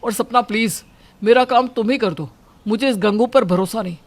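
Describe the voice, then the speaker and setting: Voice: mid-range voice, moderate pace, lightly acted. A performer voicing a stern, distrustful married man in a household story, lecturing curtly. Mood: stern, dissatisfied. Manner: lecturing, curt.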